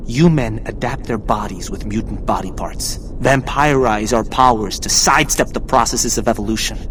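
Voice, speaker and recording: An actor playing a haughty, vengeful, impatient mutant militant in a video game. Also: male voice, moderate pace, fairly bright tone, close to an everyday voice, low-quality recording. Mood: cold conviction. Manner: haughty, scornful, emphatic. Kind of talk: video game cutscene acting. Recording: rumbling vehicle noise behind.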